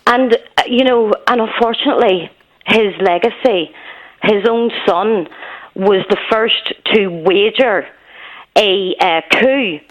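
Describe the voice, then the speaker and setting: A woman in her thirties with a Belfast accent, calling a radio phone-in and giving her views earnestly, with many pauses and ums. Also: medium pitch, dull audio. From Northern Ireland. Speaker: caller. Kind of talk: phone-in call.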